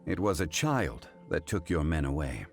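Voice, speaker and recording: Game casting: a very deep, unhurried gentleman sage, formal, lively in tone. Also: full character voice. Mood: composed. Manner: measured, formal diction. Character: calm, dignified, formal male sage. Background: game music.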